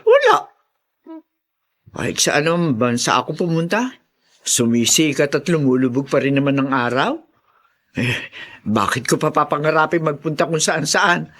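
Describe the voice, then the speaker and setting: A woman's voice: deep voice, big pitch swings, moderate pace, long pauses. A woman playing a stubborn, no-nonsense old Filipino grandmother in a radio drama, with a scolding, matter-of-fact manner. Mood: dismissive, weary.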